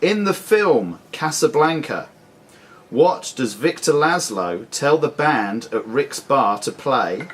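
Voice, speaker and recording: A man reading questions and giving rulings as quizmaster, deliberate and formal. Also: mid-range voice, big pitch swings, a bit bright. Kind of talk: reading quiz questions at a live club quiz. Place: sports and social club room. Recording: clearly noisy live club recording.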